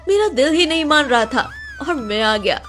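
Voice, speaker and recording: A woman's voice: sits high, animated, moderate pace, a bit bright, soft background music. A woman voicing a kindly, admiring male fashion designer in a cartoon story.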